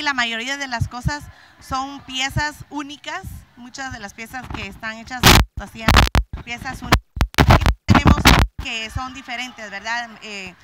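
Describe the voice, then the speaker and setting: A woman's voice: a little high, noisy background. Guatemalan lady selling crafts, nervous, lots of ums, handheld mic in a hall. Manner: nervous, halting with fillers and repeats. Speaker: craft vendor. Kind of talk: interview. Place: indoor event hall.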